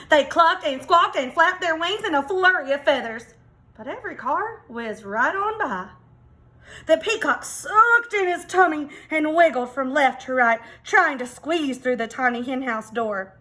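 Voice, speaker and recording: High, bright woman reading a storybook slowly. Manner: expressive, dramatic emphasis. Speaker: teacher reading aloud. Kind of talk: children's storybook read-aloud.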